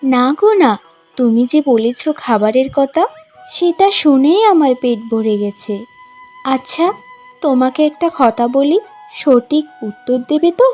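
A woman's voice: sits high, expressive, measured pace, dark tone. A woman playing a patient young village bride in a cartoon, pleading and deferential. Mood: affectionate. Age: young adult. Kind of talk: cartoon voice acting. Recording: music behind the voice.

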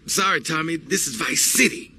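An actor playing a slick, self-serving young gangster sidekick in a video game cutscene. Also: male voice, on the high side, moderate pace, pushed and bright, slight background noise. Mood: smug, cold.

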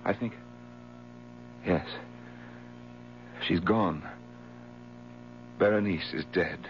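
An actor playing a grave, morally indignant gentleman, formal and solemn, in a 1970s radio drama. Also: low voice, drawn-out words, exaggerated voice. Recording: dull 1970s radio recording with hum.

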